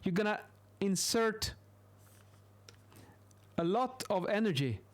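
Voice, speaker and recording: A man in his thirties with a Swedish accent gives an informal explanation in a halting way, repeating words, close to a studio microphone in a small room. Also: high voice, lively intonation. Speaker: video host, acoustics instructor. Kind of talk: informal explainer while sketching. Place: small indoor home office. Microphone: close boom-arm studio microphone.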